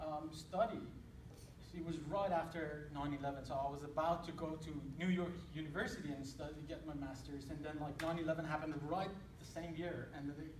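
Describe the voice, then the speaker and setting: A man's voice: fairly high pitch, fairly even intonation, fairly quick. Iranian-accented man presenting in a hall. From Iran. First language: Persian. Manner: hesitant, many fillers and restarts. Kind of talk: casual talk to an audience. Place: hall with audience. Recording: echoey room, distant mic, noisy.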